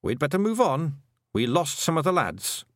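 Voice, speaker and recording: A man's voice: a little high, big pitch swings, moderate pace, no echo, studio quality, pitched above the performer's own voice. A man voicing a cheerful, scrappy little pixie warrior with a hearty, folksy manner and a Scottish accent.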